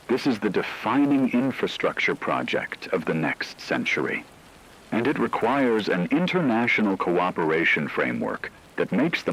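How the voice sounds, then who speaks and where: A man playing a grave, resolute veteran crisis broadcaster, delivering a solemn, deliberate monologue on emergency radio. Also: sits low, a bit bright, breathy edge, heavily acted, rough recording. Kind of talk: scripted dramatic radio monologue.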